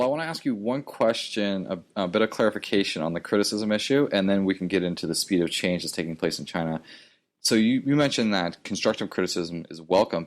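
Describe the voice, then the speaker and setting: A man hosting a podcast interview, asking measured questions in careful, polite phrasing. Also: low voice.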